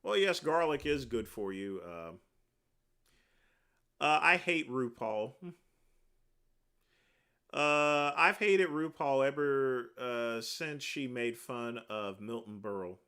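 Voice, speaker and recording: Lively deep-voiced man chatting on a livestream. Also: slow, pauses often, smooth. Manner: rambling, answers viewer questions one by one with many uh fillers. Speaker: livestream host answering chat. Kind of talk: casual livestream chat.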